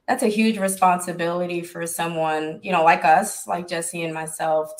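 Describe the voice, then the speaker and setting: A woman who runs a tech business, talking earnestly as a panelist on a video call from home. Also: lowish voice. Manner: earnest, measured, advocating.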